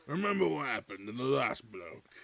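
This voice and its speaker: A man's voice: medium pitch, big pitch swings, normal speed, vocal fry, a bit bright. A man playing an irritable giant troll scout guard in a fantasy audio drama, grumbling in colloquial slang. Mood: gruff, wary. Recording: music bed behind the voice.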